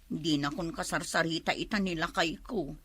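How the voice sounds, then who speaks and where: A woman's voice: low voice, moderate pace, fairly bright tone, breathy edge, no echo. A woman with an Ilocano accent playing a jealous, fretful middle-aged wife who complains emphatically in a comedy drama. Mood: upset. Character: jealous, fretful wife suspicious of her husband.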